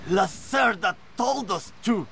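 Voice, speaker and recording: A sadistic game thug with a high, swooping voice. He drags his words slowly, a mocking bully who is a coward at heart, over a noisy background. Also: lively intonation, gravelly, pushed and bright, heavily acted. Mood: frightened, pleading. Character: sadistic thuggish torturer guard, mocking, then cowardly. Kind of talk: video game voice acting.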